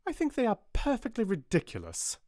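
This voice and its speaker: A man voicing a stern, disapproving storybook headmistress with a sharp manner. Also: sits high, huge pitch swings, fairly quick, no echo, clean studio sound, voice pushed up.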